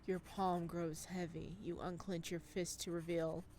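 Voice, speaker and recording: A woman reading eerie visual novel narration as an ominous storyteller, hushed and deliberate. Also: fairly low pitch, flat delivery, a little hiss or hum, pitched below the performer's own voice, close to an everyday voice. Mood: eerie, tense.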